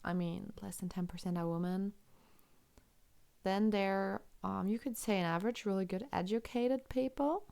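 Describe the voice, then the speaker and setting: A woman's voice: mid-range voice, gravelly, warm tone. A woman from Germany with a German accent, commentating chess on a live stream, thinking aloud and answering chat questions.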